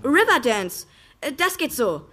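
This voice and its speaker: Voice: high voice, sing-song, a little slow, loud music behind the voice. An actor voicing an eager schoolboy who shows off about his superhero in a children's radio play. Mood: eager, boastful.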